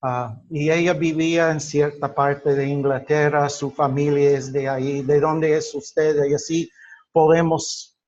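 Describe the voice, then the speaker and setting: A middle-aged or older man from the United States, lecturing to a class in a halting, deliberate way with drawn-out pauses. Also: a little high, fairly even intonation, darkish tone. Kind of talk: explanatory class lecture with personal examples. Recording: dull lo-fi sound.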